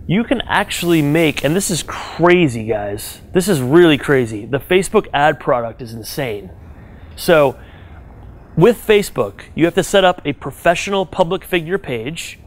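A man giving direct business advice to a live audience, animated and conversational, checking in with "right?" as he goes. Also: fairly high pitch, a little slow. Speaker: business speaker advising an audience. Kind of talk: live talk to a room audience.

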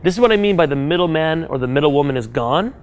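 Male speaker, live audience talk, energetic and direct, slightly higher register. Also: fast talker. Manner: animated, direct, second-person advice with frequent "right?". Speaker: business speaker advising an audience.

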